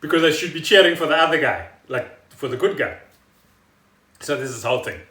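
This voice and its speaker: A man co-hosting a casual podcast, explaining things in an animated, storytelling way. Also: a little high, quick pace. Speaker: podcast co-host. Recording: roomy echo, faint music behind.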